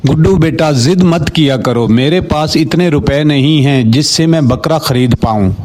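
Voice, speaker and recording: A man's voice: a little high, normal speed, darkish tone, natural voice. A man voicing a poor, patient, devout village father in a cartoon story. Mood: gently firm.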